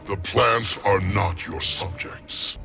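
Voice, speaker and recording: An actor voicing an ancient, hulking plant monster who guards nature, speaking in a deep, gravelly, grave and formal way. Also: male voice, steady tone, slow pace, sharp tone, heavily acted. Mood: grave menace. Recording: game music behind the voice.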